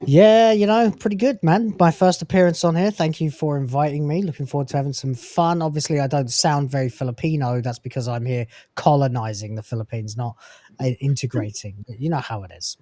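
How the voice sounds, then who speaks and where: A man on a podcast, easygoing and joking as he chats. His voice sits a little high, with a mellow, darker tone. The recording is dry, with no room sound. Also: fairly quick, dry recording. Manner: laid-back, joking. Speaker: podcast guest. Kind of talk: casual comedic podcast chat.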